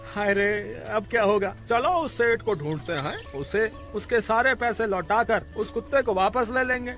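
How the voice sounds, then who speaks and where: A man voicing a cowardly, scheming village thief in an animated moral story, whiny and wheedling. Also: high-pitched, moderate pace, soft background music. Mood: panicked.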